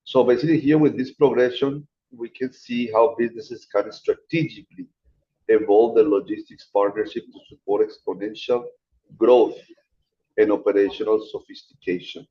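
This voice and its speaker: A man giving a guest lecture over a video call in a relaxed, chatty teaching manner. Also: fairly low pitch, normal speed, lo-fi sound. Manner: casual, chatty teaching, addresses students as guys. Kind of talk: informal online lecture.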